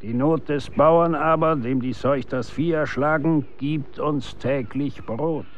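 Stern middle-aged hangman, measured, admonishing, medium pitch, dull radio drama sound with faint noise. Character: stern, worldly executioner and knacker, cautioning father. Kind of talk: German historical radio play acting.

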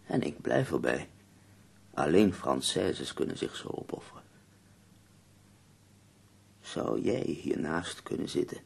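A gravelly, ailing old-world poet. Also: male voice, extremely low pitch, a little mellow, heavily acted. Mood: tender, weary. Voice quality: husky, gravelly. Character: ailing, nearly blind poet, tender and wry. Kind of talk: Dutch radio play acting.

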